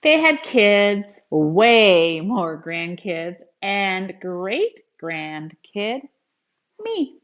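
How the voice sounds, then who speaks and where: Slow-paced woman reading to children. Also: mid-range voice, sing-song, dull audio. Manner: simple explanatory asides addressed to children. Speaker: storyteller reading a picture book aloud to children. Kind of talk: expressive read-aloud to young children.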